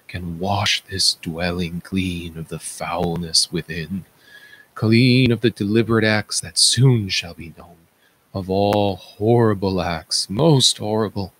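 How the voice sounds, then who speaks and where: A man voicing a solemn palace attendant who recounts horrors in a declamatory stage-tragedy reading, with dramatic pauses. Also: low-pitched, fairly bright tone, breathy edge, full character voice, low-quality recording. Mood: grave.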